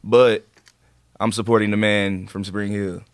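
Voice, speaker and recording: Low-voiced American man talking sports, close and dry. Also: flat delivery, a little slow, clear, resonant tone, no echo. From Akron, Ohio, United States. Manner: emphatic, slangy, opinionated. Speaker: podcast co-host. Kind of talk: casual sports debate among friends.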